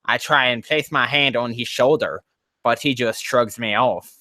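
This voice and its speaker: A man's voice: mid-range voice, moderate pace, sharp tone, dry recording. A man on a casual livestream reading fan fiction aloud, breaking off into rambling, profane, exasperated commentary.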